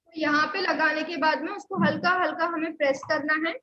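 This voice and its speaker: A woman's voice: high voice, fairly even intonation, fairly quick, pushed and bright, echoey room, lo-fi sound. A woman teaching a live online class on acupressure therapy, explaining steps patiently and repeating key points. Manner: patient, repetitive step-by-step instruction. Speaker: Sujok therapy instructor.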